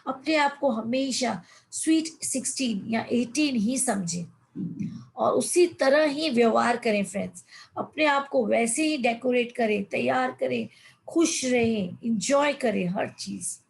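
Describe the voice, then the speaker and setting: Woman, 50s, life coach; somewhat high, gravelly voice; reads aloud, then explains; chatty motivational talk. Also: unhurried, low-quality recording. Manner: addresses listeners as friends, mixes English words. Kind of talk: motivational online session in Hindi.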